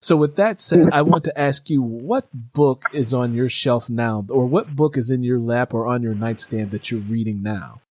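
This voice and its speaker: A man's voice: mid-range voice, moderate pace, few pauses, a little mellow, a little hiss or hum. A man hosting an interview, chatting casually about books as an audiobook narrator who reads a great deal. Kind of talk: casual book chat.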